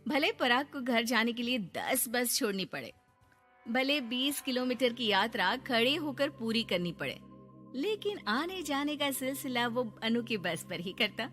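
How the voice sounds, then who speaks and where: High, bright-voiced woman narrating a comic story. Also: very animated, measured pace, faint music behind.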